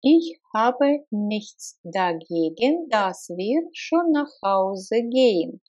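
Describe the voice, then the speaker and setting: A woman teaching phrases, saying each one slowly and deliberately and repeating it for learners. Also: mid-range voice, very animated, darkish tone. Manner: slow, deliberate, clearly spaced repetitions. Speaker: language teacher. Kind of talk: slow repeated phrase drills. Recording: slightly dull lo-fi sound.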